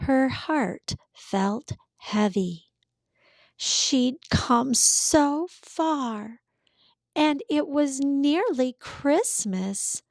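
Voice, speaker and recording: A woman narrating a children's picture book in a careful, expressive storytelling manner. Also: a little high, no room sound. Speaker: read-aloud storyteller.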